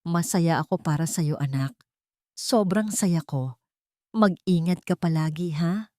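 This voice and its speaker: A woman's voice: fairly low pitch, unhurried, dark tone, no room sound, clean studio sound, lightly acted. A woman storyteller voicing a humble, loving elderly mother who speaks in a subdued, hesitant way. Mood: tender, sad.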